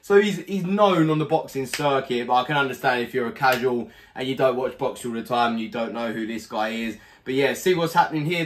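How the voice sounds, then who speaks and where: A hoarse young man hosting a boxing channel, giving a blunt, animated fight preview to camera from a small home room, swearing freely. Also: mid-range voice. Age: young adult.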